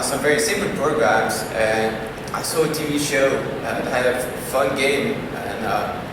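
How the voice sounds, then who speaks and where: Man heard from far in a reverberant hall. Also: medium pitch. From Belgium. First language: French. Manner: halting, many "uh"s and restarts. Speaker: conference speaker. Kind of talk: personal talk. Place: large conference hall. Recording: distant voice in a very echoey hall with noise.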